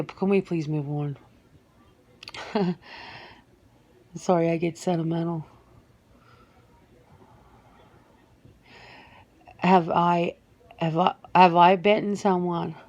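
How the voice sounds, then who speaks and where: Woman doing a sentimental lady werewolf in an asmr interview, low slow voice, halting, bit reluctant. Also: darkish tone, muffled recording. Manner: hesitant, halting, with repeated words. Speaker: ASMR roleplay performer. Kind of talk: soft-spoken ASMR roleplay interview.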